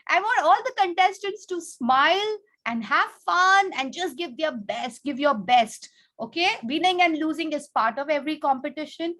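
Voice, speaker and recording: High-voiced woman hosting a children's contest. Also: expressive, normal speed, fairly bright tone. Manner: instructive, prompting with questions. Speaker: contest host and teacher. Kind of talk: explaining rules and addressing children. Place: online video call.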